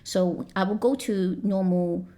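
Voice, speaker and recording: A woman's voice: mid-range voice, fairly even intonation, a little slow, dark tone. A woman in her thirties from Malaysia, chatting as a podcast guest in an accent that mixes Australian, British and American sounds, with lots of fillers and restarts. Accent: mixed Australian, British and American. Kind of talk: casual chat.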